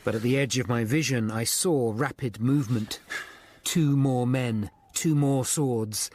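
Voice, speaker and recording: An actor playing a grave Tudor lawyer-detective who narrates a radio drama in the first person, measured and sombre. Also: male voice, mid-range voice, darkish tone, lightly acted. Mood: tense.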